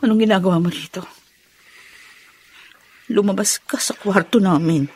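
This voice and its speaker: An actress playing a bitter, accusing young wife in a melodramatic radio drama, tearful and full of anger. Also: female voice, mid-range voice, moderate pace, darkish tone, husky, faint music behind, lightly acted. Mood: cold anger. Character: bitter young wife raging at her father-in-law.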